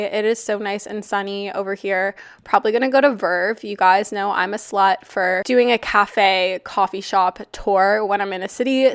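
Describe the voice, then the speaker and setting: A Canadian woman in her twenties hosting a casual solo podcast, her voice raspy and a bit nasal from a flu cough, chatty and candid with casual swearing. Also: medium pitch. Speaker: podcast host.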